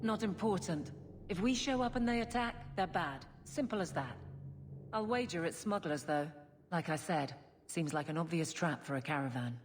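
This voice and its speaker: An actress playing a principled, no-nonsense city guard in a video game, with a brisk manner. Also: female voice, on the low side, slight background noise, lightly acted. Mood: determined.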